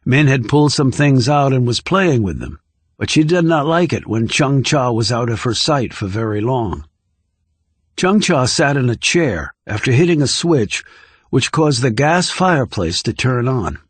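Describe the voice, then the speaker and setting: A man reading scripted thriller narration in a measured, composed way. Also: medium pitch, expressive, slightly rough, no room sound. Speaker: audiobook narrator.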